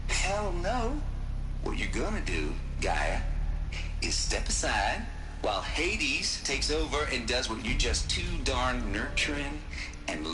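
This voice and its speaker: A male actor plays an irreverent tech man in a game, presenting like a wry showman with colloquial swagger. His mid-pitched voice rings in a roomy echo over clear music. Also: lightly acted. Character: irreverent folksy tech expert presenting an extinction protocol. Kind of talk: dramatic game monologue, recorded briefing.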